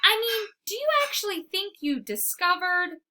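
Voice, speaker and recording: A woman's voice: very high-pitched, expressive, normal speed, bright, forceful tone, pitched above the performer's own voice, full character voice. A woman voicing a large, skeptical female dog in a storybook, haughty and sarcastic, growly when offended. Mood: mocking.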